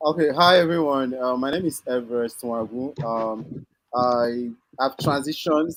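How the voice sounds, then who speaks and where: A man's voice: medium pitch, dry recording, low-quality recording. A man introducing himself as a panelist and marketing professional.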